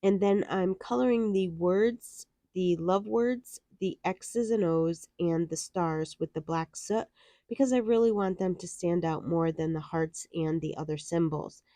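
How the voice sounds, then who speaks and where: Warm-voiced woman with a stuffy nose. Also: fairly low pitch, measured pace, no room sound, clean studio sound. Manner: cheerful, chatty step-by-step explaining. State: nasal from a head cold. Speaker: card-making channel host. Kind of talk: crafting tutorial narration.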